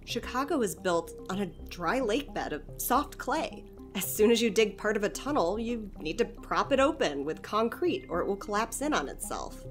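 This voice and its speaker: A woman's voice: mid-range voice, big pitch swings, moderate pace, few pauses, soft background music, close to an everyday voice. A woman playing an evasive, chatty community center manager in a naturalistic audio drama, hesitant yet earnestly explanatory. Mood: earnest explaining.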